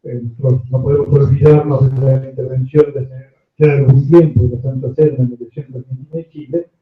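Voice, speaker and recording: Man, questioner, spontaneous, halting phrasing, echoey room, very narrow thin audio. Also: mid-range voice, little pitch movement. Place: echoey indoor room at panel event.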